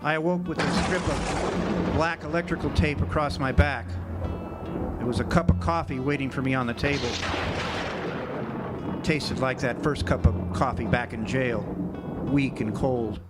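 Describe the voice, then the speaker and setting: An actor playing a world-weary, sardonic ex-jailbird drifter in a radio drama, wry and laconic. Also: male voice, mid-range voice, fairly even intonation, moderate pace, pauses often, close to an everyday voice. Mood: reflective, weary. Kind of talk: radio drama acting with first-person narration. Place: live amphitheater stage performance. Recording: live stage recording with music.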